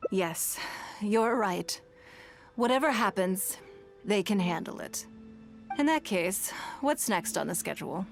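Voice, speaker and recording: A rich shrewd business lady from a game, calm n formal, measured talk, music behind. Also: mid-range voice, gravelly. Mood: composed. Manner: poised, measured, elegant, formal. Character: composed, shrewd, wealthy business magnate lady of high office. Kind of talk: video game voice acting. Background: background music.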